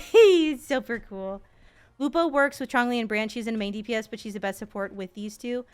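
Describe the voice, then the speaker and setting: Sweary playful gamer lady, 30s, highish voice, streaming with some music behind. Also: fast talker, clear, resonant tone. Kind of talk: casual gaming stream commentary.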